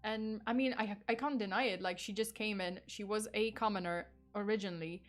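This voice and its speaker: Streamer, young woman, Polish accent, chatty and filler-heavy, middle pitch, soft music bed. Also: fairly even intonation, fairly quick. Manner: chatty, laughs often, lots of fillers and swearing. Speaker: vtuber streamer. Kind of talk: casual true crime livestream.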